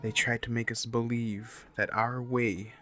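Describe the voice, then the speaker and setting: A man giving solemn, measured scripted narration for a documentary presentation. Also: mid-range voice, little pitch movement, sharp tone, soft background music. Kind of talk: scripted narration over music.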